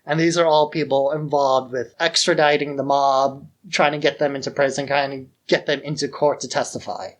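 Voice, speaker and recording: A man's voice: mid-range voice, little pitch movement, normal speed, few pauses. A man co-hosting a podcast in a casual film discussion, informal and given to acting out paraphrased dialogue. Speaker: podcast co-host.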